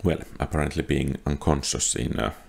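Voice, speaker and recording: A man's voice: very deep voice, flat delivery, fairly quick, gravelly, a little hiss or hum. A man casually talking through his play of a role-playing game, thinking aloud with dry understatement. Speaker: gameplay video host. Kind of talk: casual commentary over gameplay. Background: game music.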